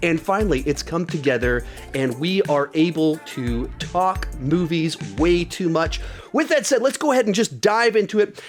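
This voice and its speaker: High-pitched man hosting a podcast. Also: lively intonation, normal speed, soft background music. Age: adult with young child. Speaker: podcast host.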